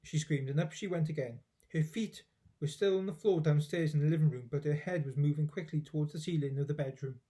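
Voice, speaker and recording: A man reading a children's story aloud in an expressive storybook narration style. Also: a little high, fairly quick.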